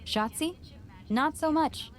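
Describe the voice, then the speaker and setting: An actress playing a frank gym owner in a video game, mentoring with dry, teasing straight talk. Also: female voice, high-pitched, slow. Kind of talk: video game cutscene dialogue.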